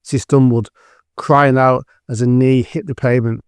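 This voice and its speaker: A man's voice: medium pitch, steady tone, moderate pace, clean tone, dry recording. A man narrating a scripted audio drama as storyteller.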